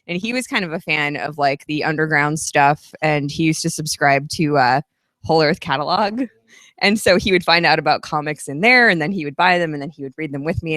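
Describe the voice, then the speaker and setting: A woman's voice: low voice, a bit bright, no room sound, clean studio sound. A woman in her thirties, a guest author at a live event, giving long, chatty, earnest answers. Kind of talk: casual interview at a live event.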